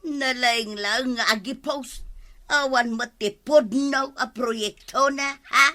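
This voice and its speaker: A woman's voice: a little high, slow pace, pushed and bright. A woman with an Ilocano accent playing a sassy, skeptical villager in a radio comedy drama, grumbling as she talks.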